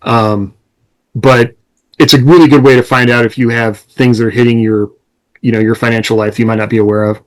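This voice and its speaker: A man's voice: medium pitch, flat delivery, brisk, low-quality recording. A man co-hosting a casual podcast, talking in an explanatory, conversational way. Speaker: podcast co-host and author.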